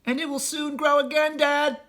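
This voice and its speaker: A man's voice: very high voice, flat delivery, moderate pace, bright, forceful tone, voice pushed up. A man voicing a small young fox cub who asks timid, frightened questions. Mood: hopeful.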